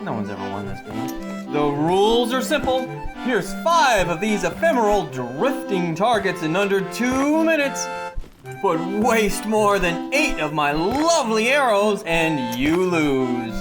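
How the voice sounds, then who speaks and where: A woman's voice: medium pitch, very animated, a little slow, music in the background. A woman playing a flamboyant, teasing carnival game barker with grandiose theatrical patter in a fantasy audio drama. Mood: teasing, sly.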